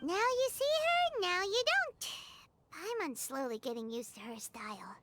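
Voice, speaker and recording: A woman voicing a tiny, excitable fairy companion in a childlike, squeaky voice for a video game. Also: a little slow, full character voice. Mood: chatty, curious. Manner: exclamatory, exaggerated reactions.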